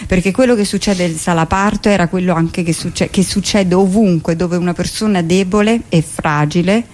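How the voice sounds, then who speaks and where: A woman's voice: lowish voice, darkish tone. A woman speaking as a guest in a conversational radio interview, with a digressive way of talking full of asides. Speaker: radio guest, theatre director and performer.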